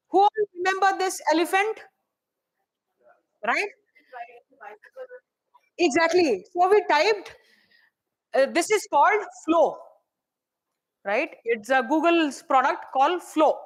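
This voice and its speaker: A soft-spoken woman with an Indian English accent, teaching a hands-on AI workshop and checking in with the room often. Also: high voice, takes it slowly, long pauses, poor audio. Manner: chatty, checks in often with "right?" and "okay?". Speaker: AI workshop presenter.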